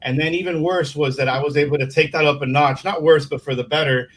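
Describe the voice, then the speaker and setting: A man's voice: on the high side, steady tone, fast talker. Man talking sales, lots of fillers. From Miami, Florida. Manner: rambling, many fillers and restarts. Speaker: guest, sales consultant. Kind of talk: casual interview talk.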